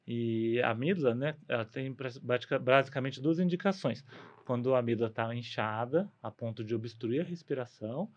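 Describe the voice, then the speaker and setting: A man with a Brazilian Portuguese accent speaks as a doctor guesting on a podcast, explaining things in a conversational way. His voice is medium in pitch, and the recording is a little dull. He often sets up a question and then answers it. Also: fairly quick.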